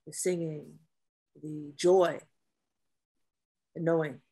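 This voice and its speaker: A woman acting a guarded, masculine-presenting Navy officer in a table read of a drama, speaking in a dry, measured way. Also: low voice, fairly even intonation, mellow, slightly rough, exaggerated voice. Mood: reflective.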